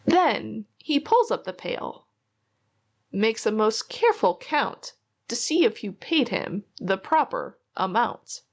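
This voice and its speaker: A woman reading a rhyming children's storybook aloud in an expressive, theatrical way. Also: on the high side, slow pace. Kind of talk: read-aloud storytelling of a rhyming picture book.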